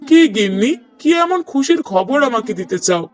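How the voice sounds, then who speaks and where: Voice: medium pitch, sing-song, normal speed, faint music behind, close to an everyday voice. A performer voicing a brooding, sarcastic husband and father in a moral-story cartoon, with pointed rhetorical questioning. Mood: unimpressed.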